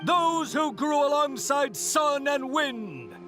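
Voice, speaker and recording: A solemn female orator at a warrior rite in a video game. She declaims slowly in a high voice with sweeping intonation, over music. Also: very animated, fairly bright tone, heavily acted. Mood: solemn, stirring. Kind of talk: ceremonial recitation in a video game.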